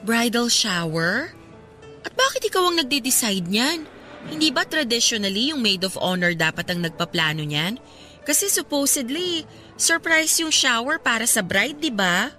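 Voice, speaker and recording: A woman's voice: on the high side, big pitch swings, normal speed, sharp tone, soft background music. A woman playing a sensible, frank young woman confiding in a friend in a Filipino radio drama, plain-spoken, with a Filipino accent. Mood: practical, teasing.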